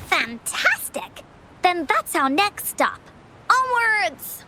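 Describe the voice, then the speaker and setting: Tiny, extremely high-pitched girl adventurer. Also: huge pitch swings, takes it slowly, bright, forceful tone, a little hiss or hum, full character voice. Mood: eager. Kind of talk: animated video game character acting.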